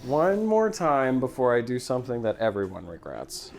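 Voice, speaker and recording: A woman's voice: a bass voice, sing-song, moderate pace, darkish tone. A woman chatting playfully as a player in a tabletop role-playing game. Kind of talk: casual, playful in-game chat.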